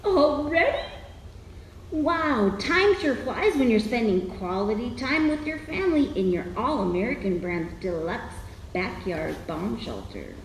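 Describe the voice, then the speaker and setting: Wholesome 1950s ad son, played by a woman: high, gushing, wide-eyed, echoey with faint noise. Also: big pitch swings, measured pace. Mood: eager, amazed. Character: excitable wholesome young son in 1950s ad family. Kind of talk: vintage radio commercial acting. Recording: roomy echo, faint noise.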